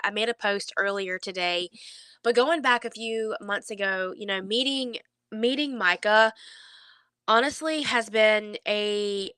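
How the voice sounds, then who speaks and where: A woman speaks in a bright, clear voice with a slightly congested nose. She talks to the camera about faith in an earnest, reassuring and conversational way, often halting to find her words. The sound is dry, with very little room in it. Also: fairly high pitch, no echo. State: stuffy nose, under the weather. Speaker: faith vlogger. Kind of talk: heartfelt devotional talk to camera.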